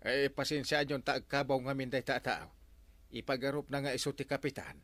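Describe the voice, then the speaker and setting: A man's voice: medium pitch, steady tone, fairly quick, a bit bright, no echo, close to an everyday voice. A man with an Ilocano accent acting a flustered, blustering village captain who stammers and exclaims in a comedy radio drama. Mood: apologetic bluster.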